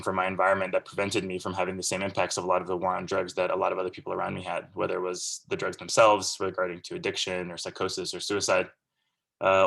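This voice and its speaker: A man in his thirties speaks in a low, gravelly voice with a fairly flat, even delivery. He talks in an earnest, conversational and rambling way, giving a guest lecture over a video call. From Central California, USA. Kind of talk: casual lecture.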